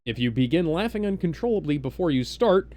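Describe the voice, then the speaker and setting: A man hosting a live stream, casually explaining things in a halting, rambling way with mock-serious asides. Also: fairly high pitch, very animated, a little mellow. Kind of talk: casual live introduction, explaining party game rules.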